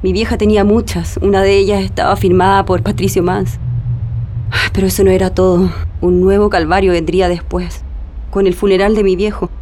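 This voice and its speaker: A woman with a Chilean accent playing a grieving daughter, giving sombre, measured testimony in a radio drama. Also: mid-range voice, little pitch movement, dark tone, slight background noise, lightly acted. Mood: bitter, sorrowful. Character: grieving daughter giving testimony about her murdered father.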